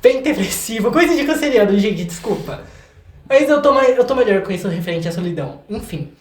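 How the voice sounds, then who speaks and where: A man's voice: sits high, animated, a little fast. A young Brazilian man chats as an interview guest in an echoey room. His talk is informal and slangy, with a self-joking streak. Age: young adult. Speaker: guest, singer-songwriter. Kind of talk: casual interview chat.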